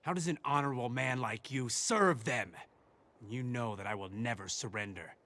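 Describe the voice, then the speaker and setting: WWII general, game cinematic. Defiant, plain-spoken, forceful mid-pitched voice. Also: male voice, moderate pace. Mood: defiant, stern. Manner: firm, plain-spoken, terse. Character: proud, defiant American lieutenant general, honorable and gruff. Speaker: army general. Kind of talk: scripted game cutscene drama.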